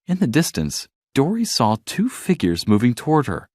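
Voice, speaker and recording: Male storybook narrator for children: dramatic, highly animated delivery at a middle pitch, recorded dry. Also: normal speed, dry recording.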